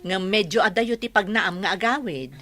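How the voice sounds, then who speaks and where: A woman's voice: medium pitch, expressive, moderate pace, a bit bright, close to an everyday voice. A woman playing a worried, fussy aunt in a radio drama, speaking in an insistent, cautioning way. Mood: reluctant.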